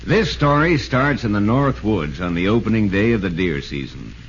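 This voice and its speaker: An actor playing a chummy sports reporter who narrates in 1940s radio style. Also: male voice, lowish voice, sing-song, normal speed. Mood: storytelling. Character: chummy sports reporter, storytelling pal of a ballplayer. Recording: dull, lo-fi old radio, faint noise.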